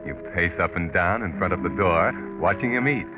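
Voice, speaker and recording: Casting: sinister 1940s radio mystery narrator, deep voice, sardonic, needling, insinuating, over music. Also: male voice, expressive, muffled recording. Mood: knowing, sardonic. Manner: taunting, insinuating, deliberate rhetorical questions. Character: sardonic, ominous male narrator taunting a would-be murderer.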